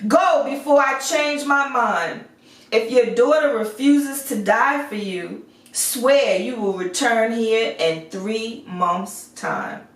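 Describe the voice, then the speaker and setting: A woman's voice: on the high side, slow pace. A woman voicing a terrifying, angry male beast in a storybook, commanding and menacing, in an echoey recording. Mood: stern, threatening. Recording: echoey room, far from the mic.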